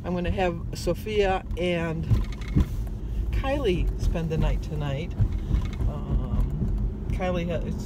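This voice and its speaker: An older woman vlogging while driving, chatting in a rambling way with many ums and self-corrections. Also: low voice. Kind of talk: casual chat. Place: in a car. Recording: loud car noise. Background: loud road and engine noise.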